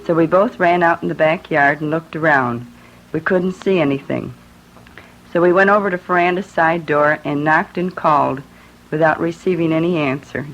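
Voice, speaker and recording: A woman's voice: low-pitched, moderate pace, fairly bright tone. A middle-aged American woman reciting an eyewitness statement on an old radio recording. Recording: muffled old radio recording, slight noise.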